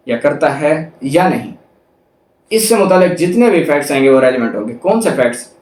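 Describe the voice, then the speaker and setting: A Pakistani man speaks as a lecturer in an echoey room. His voice sits a little high for a man. He explains didactically, listing and repeating each point. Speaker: law lecturer. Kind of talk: explanatory lecture, reading out statutes and explaining them. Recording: echoey, reverberant room sound.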